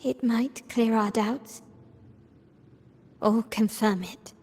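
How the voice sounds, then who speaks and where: A woman playing a composed, analytical young heiress in a video game, speaking in a measured, formal way. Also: a little high, fairly even intonation, a little mellow, slightly rough, big-room sound. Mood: grave, suspicious. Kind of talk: scripted game dialogue.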